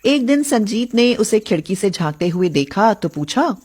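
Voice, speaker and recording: A woman's voice: mid-range voice, sing-song, normal speed, a little mellow, breathy edge. A woman telling a scripted story as its narrator.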